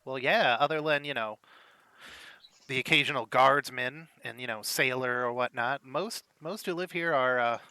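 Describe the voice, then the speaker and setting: A chatty male halfling musician, as a game master voices him rambles, boasts and cracks jokes. The voice is bright and forceful, and the pitch sits in a middle range. The scene comes from a tabletop game played around a table. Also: normal speed, clear, resonant tone, dry recording, close to an everyday voice. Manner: rambling, teasing, fond of jokes.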